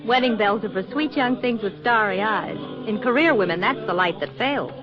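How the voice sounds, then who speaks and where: An actress playing a flippant, independent career-woman photographer with a soft romantic streak, in 1940s radio drama style. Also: mid-range voice, moderate pace, over music, lightly acted. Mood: wry, flirtatious. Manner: breezy, flippant banter. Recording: muffled, noisy 1940s radio recording.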